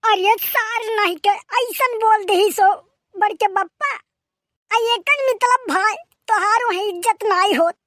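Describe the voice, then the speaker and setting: A little cartoon village boy with a piercing, bright voice and a rustic eastern Uttar Pradesh accent. He is cheeky and aggrieved, grumbling in exclamations at an unhurried pace, recorded dry and close. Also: extremely high pitch, no echo, heavily acted. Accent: eastern Uttar Pradesh (Awadhi). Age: child character. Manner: complaining, colloquial, exclamatory. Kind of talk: rustic comedy cartoon dialogue.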